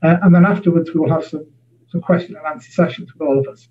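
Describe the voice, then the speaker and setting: A man's voice: fairly high pitch, fast talker, slightly rough. A man giving an academic seminar talk over a video call, hesitant, with many filler sounds. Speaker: university lecturer. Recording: tinny phone-like audio.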